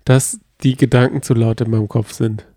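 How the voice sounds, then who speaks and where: Male podcast co-host, mellow voice, close dry mic, enthusiastic with restarts. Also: medium pitch, level tone, no echo. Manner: earnest and enthusiastic, with halting restarts. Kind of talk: casual chat.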